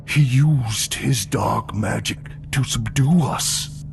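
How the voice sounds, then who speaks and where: A man voicing a gigantic, gentle abominable snowman in an audio storybook, solemn and gravelly, with a halting, drawn-out delivery. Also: medium pitch, noisy background. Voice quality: gravelly, creaky.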